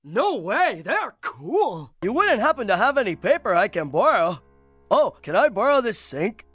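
A man voicing a dim-witted, excitable, childlike cartoon boy with a goofy, dopey voice and exuberant delivery. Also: sits high, moderate pace, music in the background. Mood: dopey, casual. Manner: loud, exuberant, childlike exclamations. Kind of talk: animated cartoon voice acting.

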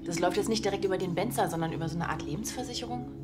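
A woman's voice: lowish voice, very fast, loud music behind the voice, natural voice. A woman playing an upbeat, helpful police colleague with natural TV comedy acting. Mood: businesslike.

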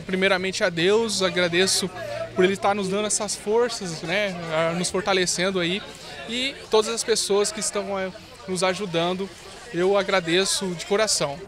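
Hesitant high-voiced Brazilian man in a crowd. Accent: Brazilian Portuguese. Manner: hesitant, grateful, with many fillers. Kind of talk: interview answer. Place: outdoors at a busy street bazaar. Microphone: handheld reporter mic. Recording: busy crowd noise behind.

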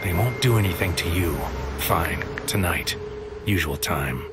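Deep-voiced brooding narrator, solemn. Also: male voice, lively intonation, heavy background noise. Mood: weary, bleak. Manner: solemn, deliberate, poetic. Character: brooding, fatalistic man narrating his bleak inner world. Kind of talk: scripted audio drama monologue.

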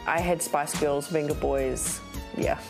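A woman's voice: deep voice, fairly even intonation, takes it slowly, creaky, over music. A young woman talking casually as a musician being interviewed. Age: young adult. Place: small indoor room.

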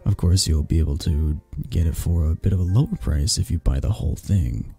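A man playing a possessive, flirtatious mafia boss in a romantic audio roleplay, husky and gravelly, speaking with intimate condescension and long pauses. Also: very deep voice, dark tone, no echo, exaggerated voice. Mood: smooth, businesslike. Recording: music behind the voice. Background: background music.

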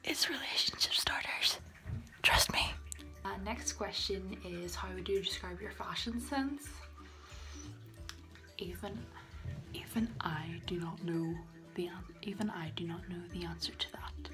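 A woman's voice: on the low side, measured pace, pauses often, over music. A young woman with a Northern Irish accent chatting casually to a phone camera in a small bathroom, giggling and dropping into whispered asides. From Northern Ireland. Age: young adult. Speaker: vlogger. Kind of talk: casual chat. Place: small tiled bathroom, indoors. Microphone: phone camera at arm's length.

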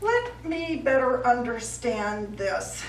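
A woman's voice: on the high side, sing-song, slow, faint music behind, heavily acted. A middle-aged actress playing a pompous, easily wounded woman painter on stage, in florid, grandiloquent style. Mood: condescending. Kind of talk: live stage comedy acting. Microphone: distant audience camera. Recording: echoey small theatre, distant mic, faint noise.

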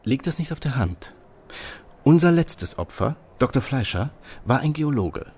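An actor playing a brilliant, self-assured detective who lectures briskly about his deductions. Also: mid-range voice, very animated, darkish tone, slightly rough, exaggerated voice. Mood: lecturing. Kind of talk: audio drama acting.

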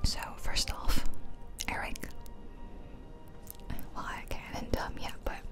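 A woman's voice: slow, over music. A woman whispering chatty, playful ASMR commentary over a video game, close to the microphone. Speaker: host of an ASMR gaming channel. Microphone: close ear-to-ear ASMR microphone.

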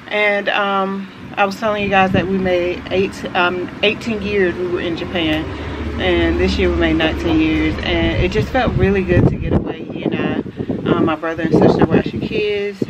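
A woman in her forties, a vlogger, chatting casually to camera on a house porch, relaxed and rambling with lots of fillers. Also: sits low. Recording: outdoor camera audio with clear background noise.

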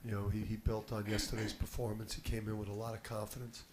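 Coach guy at the press table, lowish voice, sure of himself, a bit of room hum. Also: completely flat delivery, fast. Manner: confident, emphatic, repeats words when starting. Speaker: head basketball coach. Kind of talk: postgame press conference answers. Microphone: table mic on a stand.

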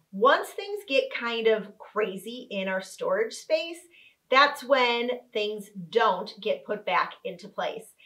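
A woman's voice: a little high, a bit bright. Upbeat woman giving organizing tips. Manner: upbeat, instructive, clear step-by-step. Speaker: home organizing vlogger. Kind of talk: home organizing tutorial. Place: living room with couch, indoors.

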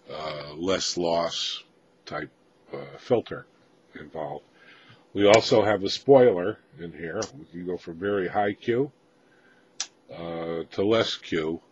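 A man with a low-pitched voice presents gear informally, like a hobbyist talking without a script. His phrasing is halting, with frequent pauses mid-sentence. The recording is dull and lo-fi, with faint noise behind him. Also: a little mellow. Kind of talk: informal unscripted equipment walkthrough.

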